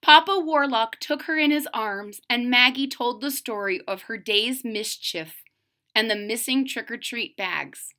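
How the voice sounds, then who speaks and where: A woman reading a picture book aloud to children in a dramatic way, pausing to put questions to young listeners. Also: on the high side, bright, forceful tone.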